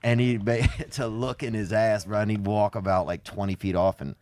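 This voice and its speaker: A man telling comic stories casually in a rambling, colloquial way with folksy deadpan phrasing. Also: lowish voice, moderate pace, background noise. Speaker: podcast storyteller. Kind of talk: casual comedic storytelling.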